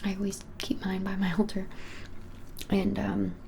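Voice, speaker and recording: A young woman whispers an ASMR shop roleplay in a mellow, slightly creaky voice. Her delivery is even and unhurried, with small hesitations, and a noticeable hiss sits behind her. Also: mid-range voice. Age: 20s. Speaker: ASMR creator playing a witch shop keeper. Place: small plain-walled room, indoors.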